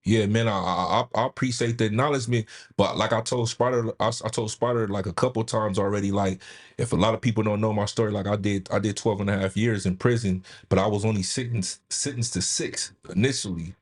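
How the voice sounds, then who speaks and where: Earnest man with a low voice. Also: fairly even intonation, a little fast. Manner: earnest, repeats and restarts phrases. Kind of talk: candid personal storytelling. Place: studio with caller on line.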